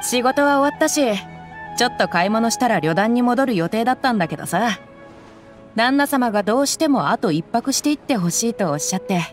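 A woman voicing a tough young mercenary who talks in a rough, casual way in a game cutscene. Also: on the high side, lively intonation, brisk, soft background music, close to an everyday voice. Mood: easygoing. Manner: rough, casual, gruff slang. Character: tough young female mercenary, blunt, easygoing. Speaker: game character.

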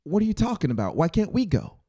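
A man's voice: sits high, wildly swooping pitch, quick pace, warm tone, pitched above the performer's own voice, exaggerated voice. A man voicing an anxious, confused young boy with questioning, wavering delivery in an audiobook. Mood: insistent. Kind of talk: audiobook character voice.

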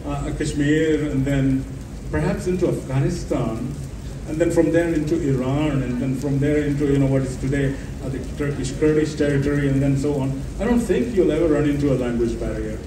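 A man's voice: fairly high pitch, a little fast. A middle-aged or older man telling an anecdote as a panelist, repeating phrases for emphasis. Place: festival stage, before an audience. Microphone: handheld microphone through PA, filmed from audience. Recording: echoey distant stage PA with noisy ambience.

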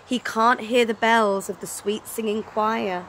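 A woman in her thirties reading a children's story aloud in an animated, dramatic way, recorded outdoors. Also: on the high side, a little slow, low-quality recording. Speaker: storytelling teacher. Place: outdoors on an open wooden deck. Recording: outdoor recording, clear background noise.

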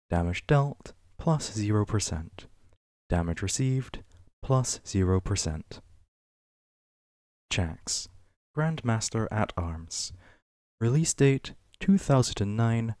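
A man with a fairly low voice reads written entries as ASMR, very slowly and with deliberate pauses. His pitch rises and falls noticeably from item to item, and the recording is close and dry. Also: darkish tone, no echo. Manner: measured, list-like reading with pauses. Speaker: ASMR reader. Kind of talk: ASMR reading of a game wiki page.

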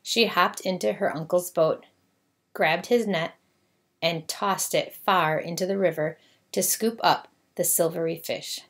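Female storybook narrator, lower-pitched, expressive scripted read-aloud for children. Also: measured pace.

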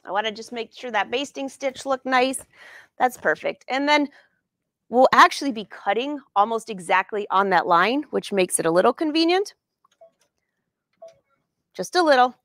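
A woman's voice: a little high, animated, moderate pace, long pauses, fairly bright tone, no room sound, studio quality. Upbeat woman teaching a live sewing class. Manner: chatty and upbeat, addresses viewers by name. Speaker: sewing educator and brand ambassador. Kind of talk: live-streamed sewing lesson answering viewer questions. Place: sewing studio indoors.

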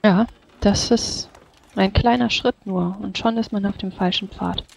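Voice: medium pitch, fairly even intonation, a little slow, gravelly, darkish tone. A tabletop roleplaying player chatting casually in character.

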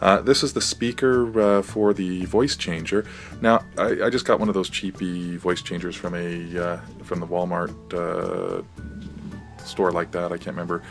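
A man's voice: steady tone, moderate pace, vocal fry, soft background music. An American man giving a casual, hesitant, off-the-cuff walkthrough of his DIY project. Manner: hesitant, off-the-cuff, low-key.

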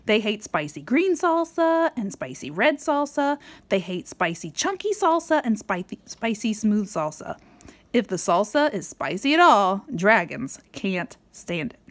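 Female storybook reader, animated and playful, swooping intonation, speaking to a small child. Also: medium pitch. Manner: playful, expressive, with dramatic emphasis. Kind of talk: animated read-aloud to a young child.

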